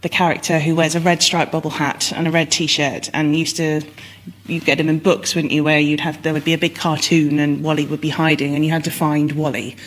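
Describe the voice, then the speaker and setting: A woman's voice: deep voice, level tone, fairly quick. A woman explaining things comically and anecdotally as a panellist on a radio comedy show. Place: radio show before live audience.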